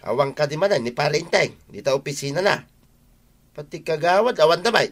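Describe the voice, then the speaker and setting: A man's voice: a little high, lively intonation, a little fast, faint music behind. A man with an Ilocano accent playing an aggrieved, grumbling villager in a comedic radio drama, full of exclamations and complaints.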